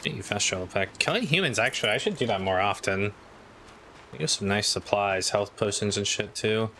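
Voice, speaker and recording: A man's voice: lowish voice, moderate pace, sharp tone, slight background noise. A man doing casual gameplay commentary as a streamer, muttering offhand and swearing to himself. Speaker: game streamer. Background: video game music.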